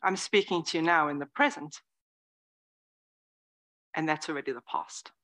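Woman, 40s, Zen teacher, slightly low voice; lively conversational talk with whispered asides. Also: moderate pace. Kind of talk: dharma talk. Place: meditation hall before a live audience.

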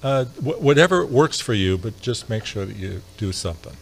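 A man's voice: mid-range voice, sing-song, normal speed, gravelly. A man who grew up in New York, a photographer and podcaster, chatting as a guest on a show with upbeat asides. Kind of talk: casual guest chat.

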